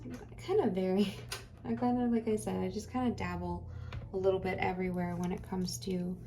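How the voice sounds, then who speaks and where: A woman's voice: medium pitch, little pitch movement, fairly quick, mellow, slight background noise. A woman hosting a craft channel, chatting casually while she works, rambling and hesitant with many fillers, her voice tired and getting dry.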